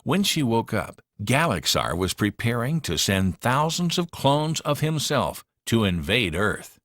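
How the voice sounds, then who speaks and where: A man narrating a children's storybook in an expressive, dramatic storytelling manner. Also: mid-range voice, measured pace, no room sound, clean studio sound.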